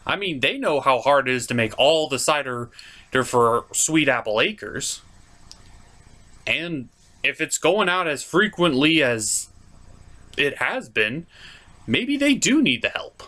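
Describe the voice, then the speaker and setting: A man hosting a scripted cartoon review, speaking in a sarcastic, emphatic way with drawn-out stresses. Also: medium pitch, sing-song, sharp tone. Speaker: reviewer, show host.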